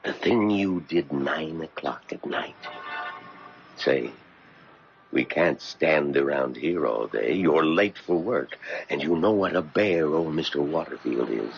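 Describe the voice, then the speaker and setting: A very deep, slow-spoken man of mystery in a 1970s radio drama. He guides with calm, cryptic authority, his speech swinging in pitch, on a dull old broadcast recording. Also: creaky, slight background noise, exaggerated voice. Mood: firm, knowing. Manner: measured, commanding, cryptic. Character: mysterious older man, calm guide sending a woman back in time. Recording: muffled vintage radio broadcast, music behind.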